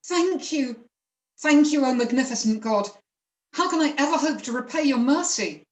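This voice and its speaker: A woman's voice: high voice, animated, normal speed, roomy sound. A woman playing a timid, pious peasant father who pleads and grovels in an amateur myth play reading. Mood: humbly grateful.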